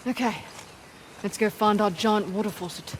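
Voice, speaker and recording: A woman's voice: medium pitch, normal speed, lightly acted. A woman acts out a tough female soldier of fortune from an action video game. Her comes in a medium register, blunt and dry. There is noticeable noise behind the dialogue. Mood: dry, businesslike. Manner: curt, dry, businesslike. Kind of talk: video game cutscene acting. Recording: clear background noise under game dialogue.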